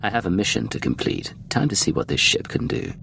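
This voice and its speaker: Deep, gravelly secret agent. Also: male voice, big pitch swings, a little fast, a bit bright, slight background noise, full character voice. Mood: cool, commanding. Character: cool, terse male Imperial secret agent. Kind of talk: scripted video game acting.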